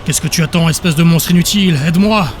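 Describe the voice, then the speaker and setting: A man's voice: fairly high pitch, fast talker, lightly acted. Man acting out a crazed, sneering villain. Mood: furious. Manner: theatrical, sneering. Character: crazed villainous male counsellor, gloating, bitter, desperate. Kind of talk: dramatic audiobook character acting. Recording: music behind the voice.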